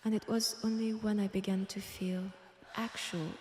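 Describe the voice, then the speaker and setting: A woman with a French accent playing an eerie, seductive guide of a magic library in a breathy, half-whispered ASMR roleplay. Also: medium pitch, little pitch movement, lightly acted. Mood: yearning, pleading. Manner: slow, deliberate, hushed. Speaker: roleplay voice actor. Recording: roomy long reverb, faint background noise.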